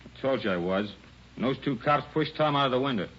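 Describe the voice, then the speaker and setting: A man's voice: medium pitch, expressive, a little fast, fairly bright tone, slightly rough. A man playing a stubborn, resentful union witness in a 1940s radio drama, answering curtly and defiantly. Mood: bitter. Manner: curt, defiant. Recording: muffled, noisy 1940s radio recording.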